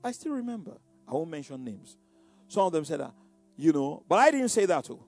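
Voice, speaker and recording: A man's voice: on the high side, sing-song, brisk, darkish tone, soft background music. An older man with a Nigerian Yoruba accent, preaching and recounting his past, who often repeats words and says "you know". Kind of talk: sermon-like personal testimony.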